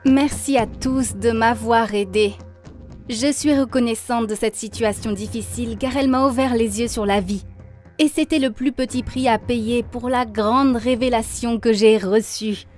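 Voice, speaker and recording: Woman acting devout young cartoon heroine, higher pitch, earnest, grateful, faint music behind. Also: fairly even intonation, measured pace, a little mellow, natural voice. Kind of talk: dubbed cartoon monologue, testimony. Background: faint background music.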